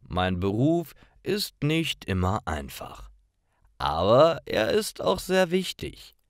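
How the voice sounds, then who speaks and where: A man reading scripted stories for language learners as a narrator, in a careful, deliberate way. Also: mid-range voice, wildly swooping pitch, no room sound, studio quality.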